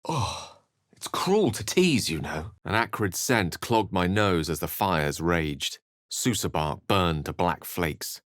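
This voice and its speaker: Erudite wizard companion for a fantasy game: deep register, measured theatrical diction, wry but earnest. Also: male voice, expressive, a bit bright, no echo, clean studio sound, full character voice. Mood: gently teasing, then measured, grave. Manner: eloquent, measured, theatrical phrasing. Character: courteous, erudite human male wizard adventurer, wry and earnest. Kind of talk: video game character lines and narration.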